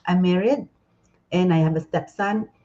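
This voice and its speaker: A woman's voice: on the low side, normal speed, warm tone, muffled recording. A woman introducing herself on a live video call in a polite, slightly hesitant way. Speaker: guest panelist. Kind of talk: casual live-stream introduction.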